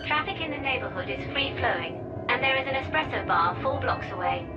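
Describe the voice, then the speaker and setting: A woman playing a calm, polite car computer in a radio drama, speaking in measured, formal, emotionless phrases. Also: high-pitched, far from the mic, lo-fi sound, loud music behind the voice.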